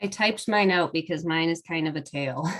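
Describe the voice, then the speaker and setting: A young woman speaks in a low, stuffed-up voice, congested from a cold. She tells her story in a chatty panel style, with plenty of ums and quick laughs. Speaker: webinar panelist. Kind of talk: personal story told on a panel.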